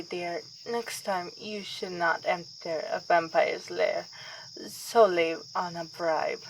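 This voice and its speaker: A woman's voice: lowish voice, rough recording. A woman playing a reluctant vampire princess in an ASMR roleplay, kindly and formal with wry, weary asides. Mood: chiding, kindly. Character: reluctant vampire princess, kindly but exasperated, gently haughty. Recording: outdoor ambience with faint insect noise.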